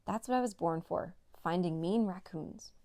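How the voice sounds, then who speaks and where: A woman's voice: on the low side, moderate pace, warm tone, no room sound, close to an everyday voice. A woman voicing a confident, supportive female coonhound in a children's storybook, speaking earnestly.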